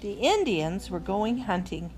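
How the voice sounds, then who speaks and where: An older woman reading a children's bedtime story aloud as a grandmother, in an expressive way, on a noisy recording. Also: mid-range voice, measured pace.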